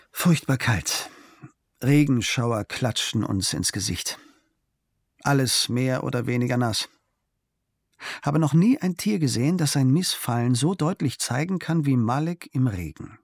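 A man calmly reading a travel diary aloud as scripted narration, measured and unhurried. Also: medium pitch, creaky, slightly rough, dry recording. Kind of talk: calm scripted literary narration.

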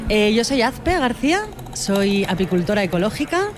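A woman's voice: medium pitch, sing-song, normal speed, loud music behind the voice. A woman from Spain, a beekeeper and environmental educator answering radio interview questions in an earnest, thoughtful way, often adding tag questions. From Spain (Huesca, Aragón).

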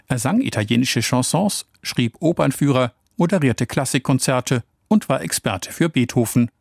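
A man reading a scripted radio obituary in a measured, even way, recorded on a studio microphone. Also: mid-range voice, gravelly, no room sound. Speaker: radio reporter.

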